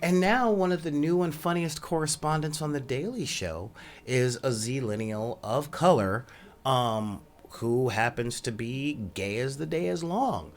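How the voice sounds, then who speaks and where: A soft-spoken man hosting a podcast, talking casually in a rambling monologue full of ums and asides. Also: measured pace. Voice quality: says he has a high voice. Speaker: podcast host.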